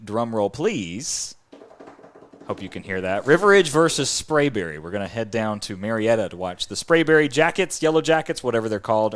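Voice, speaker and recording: A man hosting a sports podcast in an upbeat, chatty presenting style. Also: medium pitch.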